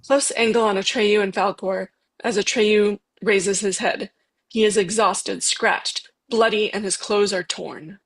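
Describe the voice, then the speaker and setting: A woman's voice: medium pitch, fairly even intonation, a little slow, husky. A woman narrating a screenplay's stage directions in a scripted table read.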